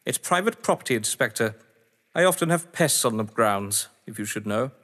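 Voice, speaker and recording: A man's voice: medium pitch, animated, normal speed, a bit bright, close to an everyday voice. A man acting in an audio drama as a haughty, games-obsessed writer, eloquent, grandiose and sardonic. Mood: coolly defensive. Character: haughty, theatrical games-obsessed male writer, defensive under suspicion. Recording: long echoing hall reverb.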